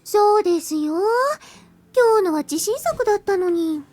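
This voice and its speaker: A woman's voice: very high voice, animated, brisk, dark tone. A young woman playing a cute, devoted schoolgirl junior in a visual novel game. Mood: cute, slightly pouty. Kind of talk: Japanese visual novel voice acting.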